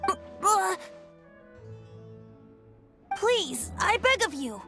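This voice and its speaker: A woman's voice: a little high, very animated, measured pace, over music. A woman voicing a whimsical boyish young bard in a video game, theatrical and coaxing, with a lyrical way of phrasing. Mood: pleading.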